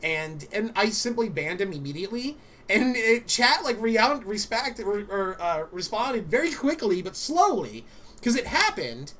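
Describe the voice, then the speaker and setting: A man co-hosting a streamer talk show, telling animated stories in casual chat with many fillers and some swearing. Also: high-pitched, a little slow.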